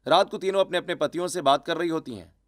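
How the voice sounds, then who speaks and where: A man's voice: a little high, quick pace. A man reading scripted narration for a cartoon family story. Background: background music.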